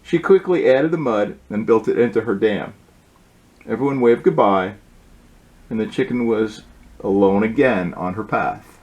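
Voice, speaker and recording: A man's voice: lowish voice, normal speed, a little mellow, lo-fi sound. Man reading a storybook aloud. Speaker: author reading own book aloud. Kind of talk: storybook read-aloud with asides.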